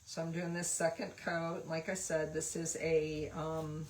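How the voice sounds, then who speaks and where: A woman's voice: sits low, level tone, a little slow, slight background noise. A woman hosting a craft livestream, chatting casually and rambling with lots of fillers while she paints. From West Virginia, USA.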